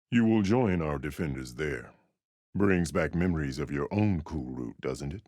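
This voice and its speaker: An actor playing a stern, battle-scarred older warrior chief in a video game, speaking with grave, formal command. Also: male voice, rumbling low, no room sound, studio quality, exaggerated voice. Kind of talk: video game character dialogue.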